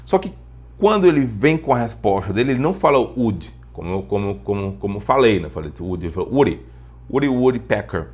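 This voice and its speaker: A man's voice: fairly low pitch, expressive, fairly quick, breathy edge. Brazilian man teaching, explanatory tone. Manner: explanatory, repeats phrases for emphasis, rhetorical questions. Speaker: English teacher. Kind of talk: informal spoken lesson with personal storytelling.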